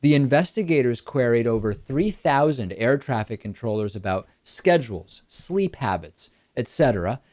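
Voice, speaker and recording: Man, talk show host, brisk explainer, rhetorical questions, dry close studio sound. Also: mid-range voice, lively intonation, a little mellow, dry recording. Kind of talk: political talk show commentary.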